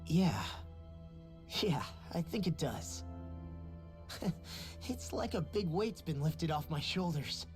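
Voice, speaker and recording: A man's voice: a little high, very animated, slow, long pauses, over music. An actor voicing a brash but big-hearted young male fighter in a video game cutscene, talking in a casual, candid way.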